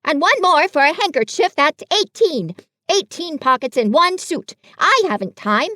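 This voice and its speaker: A woman voicing a fussy, bossy male rabbit in a storybook reading, loud and self-important. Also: high voice, big pitch swings, moderate pace, no room sound, studio quality, pitched above the performer's own voice, heavily acted.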